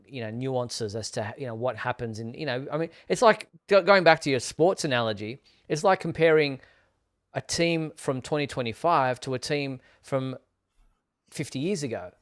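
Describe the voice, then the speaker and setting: Clear, smooth-voiced man hosting fan talk. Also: mid-range voice, normal speed. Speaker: host of a Superman fan live show. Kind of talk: casual news discussion.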